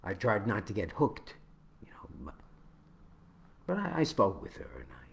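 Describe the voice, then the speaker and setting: A man reading his own spoken-word poem, with halting, deliberate phrasing that moves between dramatic swells and hushed drops. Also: lowish voice, wildly swooping pitch, vocal fry.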